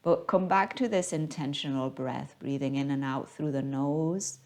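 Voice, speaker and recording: A woman guiding a yoga class as the teacher, speaking in a gentle, unhurried, soothing manner. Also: a bass voice. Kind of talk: guided yoga class instruction.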